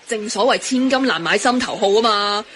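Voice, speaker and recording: A woman's voice: mid-range voice, fairly quick, a bit bright, close to an everyday voice. A woman playing a breezy, teasing colleague with a Hong Kong Cantonese accent in a radio drama. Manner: teasing, mixes in English words. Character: breezy, teasing female colleague who loves shopping. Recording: faint background noise.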